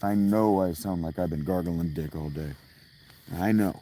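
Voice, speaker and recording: A man with a hoarse, strained voice, rambling and swearing as he chats casually with viewers on a livestream outdoors at night. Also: low voice, normal speed, mellow. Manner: rambling, profane, restarts often.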